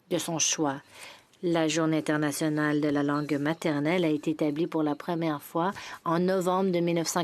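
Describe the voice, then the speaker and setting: A woman with a fairly low voice speaks in the measured cadence of a formal parliamentary address, now and then restarting a word. The sound is dry and close, with no room echo. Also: darkish tone.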